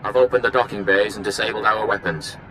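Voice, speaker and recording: Forceful, formal warship captain. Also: male voice, on the low side, steady tone, fast. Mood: urgent, commanding. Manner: clipped, commanding, formal. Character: formal, urgent male imperial warship captain. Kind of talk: video game voice acting. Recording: faint noisy battle ambience.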